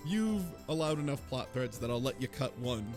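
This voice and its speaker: A man's voice: medium pitch, lively intonation, moderate pace, music in the background. A man co-hosting a talk show, casually recapping a story in a wry, incredulous way. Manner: wry, incredulous, laughs while talking. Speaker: podcast co-host. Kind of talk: casual joking recap discussion.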